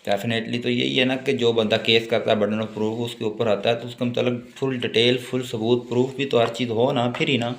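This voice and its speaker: A man with a Pakistani accent giving an exam-focused law lecture, reading notes deliberately and explaining them informally with repetition. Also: medium pitch, little pitch movement, creaky. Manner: reads English passages slowly and deliberately, then explains informally with repetition. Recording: echoey room.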